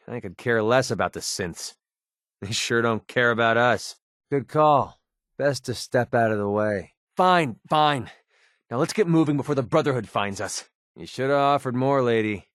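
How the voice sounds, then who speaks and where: A man's voice: mid-range voice, animated, normal speed, fairly bright tone, no room sound, studio quality, close to an everyday voice. An American man playing a cynical, wisecracking young mercenary in a video game, with a casual, sarcastic, slangy delivery. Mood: wry. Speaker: video game companion character.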